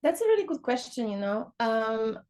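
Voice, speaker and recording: A woman's voice: on the high side, sing-song, normal speed, darkish tone. A young woman from Poland, a podcast guest talking casually about teaching dance. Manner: chatty, many fillers like "you know".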